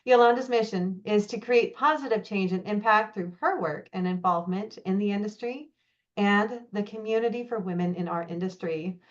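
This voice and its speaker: A woman moderating a webinar, reading a speaker's bio in a measured, scripted way. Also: mid-range voice. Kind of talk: reading a formal speaker introduction. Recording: dull lo-fi call audio.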